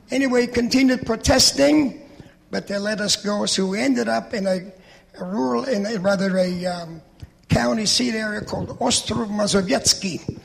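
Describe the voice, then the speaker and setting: A man's voice: high-pitched, creaky. A man, telling his life story steadily into a handheld microphone before an audience in a large church hall. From Poland. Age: 80s. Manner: plain, steady storytelling with gestures. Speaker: Holocaust survivor speaker. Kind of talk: testimony talk to an audience. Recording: reverberant hall.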